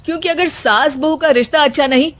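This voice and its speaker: A woman's voice: high voice, brisk, fairly bright tone, pitched above the performer's own voice. A woman voicing a fed-up, wry young husband complaining in a comic family story. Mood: wry complaint.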